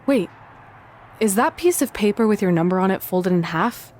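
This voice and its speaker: A woman's voice: medium pitch, expressive, normal speed, a little mellow, natural voice. A woman playing a confident, supportive young wrestler in video game cutscene dialogue.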